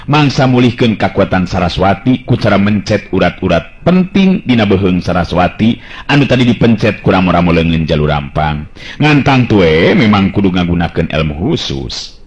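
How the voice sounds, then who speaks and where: A man with a Sundanese accent narrating a folk tale as a dramatic radio storyteller. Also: on the low side. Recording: dull lo-fi radio-style recording.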